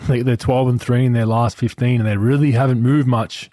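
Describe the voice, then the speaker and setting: A man in his late thirties hosting a podcast, chatting about sport in a relaxed, loose way. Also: mid-range voice, fairly quick, no echo, studio quality. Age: 30s. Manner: relaxed, chatty, frequent restarts. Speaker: podcast host. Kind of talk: casual sports chat.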